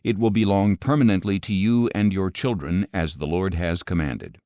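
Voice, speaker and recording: Low-pitched man reading scripture, dry sound. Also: normal speed, warm tone, no room sound. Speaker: audiobook narrator of scripture. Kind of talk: scripted Bible reading, measured narration.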